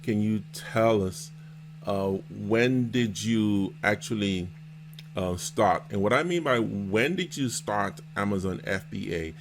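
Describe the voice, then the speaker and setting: A man hosting a casual vlog interview as a seller and mentor, explaining things in a halting, repetitive way. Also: lowish voice, soft background music. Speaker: host, Amazon seller and mentor. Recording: noticeable background noise.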